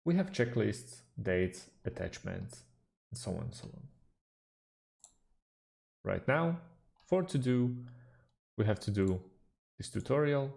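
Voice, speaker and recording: A man whose first language is Slovak talks through a computer tutorial at a slow, unhurried pace, pausing on fillers. His voice is low and mellow, with a gravelly edge. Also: big pitch swings. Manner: unhurried, hesitant with fillers, slightly non-native phrasing. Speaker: tutorial host. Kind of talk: screen-recorded software tutorial.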